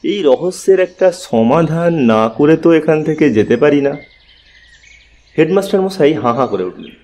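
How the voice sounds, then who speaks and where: Mellow male story narrator in long reverb. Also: fairly high pitch, lively intonation, moderate pace. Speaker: story narrator, also voicing the investigator. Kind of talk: dramatic scripted story narration. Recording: long reverb over music and effects. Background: music and birdsong effects.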